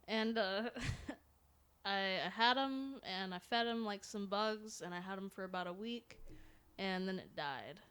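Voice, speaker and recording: A woman's voice: medium pitch, measured pace, fairly bright tone, smooth. Slangy, joking young American woman. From North Carolina, United States. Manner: slangy, joking, swears casually. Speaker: podcast co-host. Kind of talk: casual banter.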